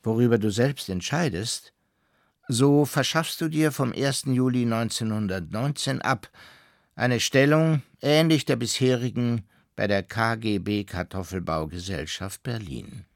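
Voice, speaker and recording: An actor playing a stern, sober father, reading admonishing letters to his son in a measured, formal way. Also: mid-range voice, vocal fry, no room sound, studio quality.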